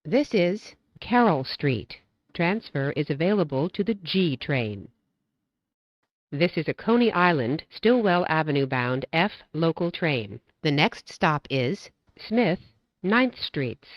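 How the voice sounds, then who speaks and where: Low-voiced woman making train announcements. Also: very animated, no echo, highs cut off. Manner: measured, formulaic delivery. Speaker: transit announcer. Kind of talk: scripted recorded train announcements.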